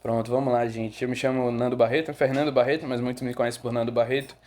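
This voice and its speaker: Relaxed young Brazilian man telling his story. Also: medium pitch, fast talker, a little hiss or hum. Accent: Brazilian Portuguese, Bahia. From Rio de Janeiro, Brazil. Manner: relaxed, with frequent fillers and self-corrections. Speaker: guest photographer. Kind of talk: casual podcast storytelling.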